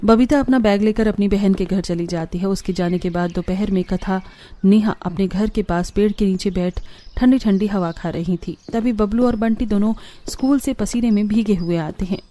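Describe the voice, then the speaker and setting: A woman's voice: mid-range voice, moderate pace, warm tone. A woman reading a scripted moral story as its narrator. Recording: music behind the voice. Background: background music.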